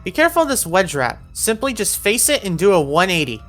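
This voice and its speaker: A woman's voice: medium pitch, sing-song, a little fast, a bit bright, faint music behind. A woman narrating an instructional video game walkthrough, giving encouraging step-by-step directions.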